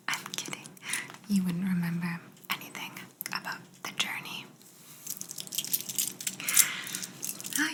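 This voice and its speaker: A woman playing a curious, teasing alien abductor who soothes a human in a soft whispered ASMR roleplay with gentle reassurances. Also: mid-range voice, close to an everyday voice. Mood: gentle, wry.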